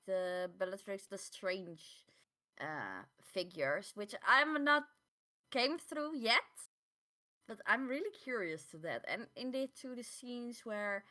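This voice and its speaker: A woman's voice: mid-range voice, bright, forceful tone. A woman co-hosting a podcast in casual conversation, speaking English as a second language with non-native phrasing. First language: not English. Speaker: podcast co-host. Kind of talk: casual discussion of audiobooks.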